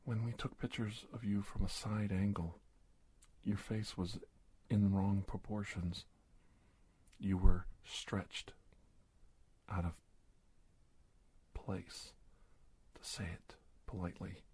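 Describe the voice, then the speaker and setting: A man playing a cautious, polite government investigator in a soft-spoken ASMR roleplay, speaking haltingly and often dropping to a whisper. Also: on the low side, little pitch movement, breathy edge. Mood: calm, courteous. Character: cautious, polite government investigator doctor confronting a disguised creature. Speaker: ASMR performer.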